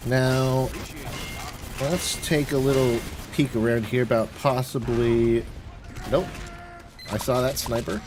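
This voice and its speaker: A man gives casual live commentary while playing a video game, at a middling pitch. He grumbles mildly and exclaims as he goes. Game sounds are clearly audible behind his voice. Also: fairly even intonation, slow pace, long pauses, background noise. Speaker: game streamer.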